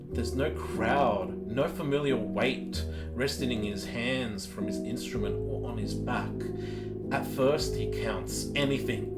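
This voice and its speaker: A man narrates as game master in a slow, solemn storytelling voice, pausing deliberately between phrases. His pitch sits in an ordinary middle range. Loud guitar music plays behind him throughout. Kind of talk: dramatic narration of a tabletop game.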